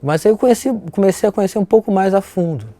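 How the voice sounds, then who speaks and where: A Brazilian man, middle-aged or older, explaining casually and haltingly as an interviewed collector. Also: high-pitched, warm tone, no echo. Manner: halting, repeats words, frequent tag questions.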